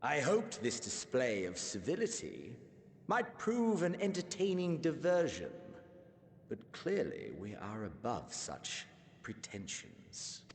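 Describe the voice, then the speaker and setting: An actor playing a cold, theatrical warlord villain who speaks in grandiose, archaic phrases. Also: male voice, huge pitch swings, slow, creaky, a little hiss or hum, exaggerated voice. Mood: coldly amused. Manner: grandiose, archaic speech with sweeping pitch swings. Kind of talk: video game cutscene acting. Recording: clean studio dialogue over loud game score.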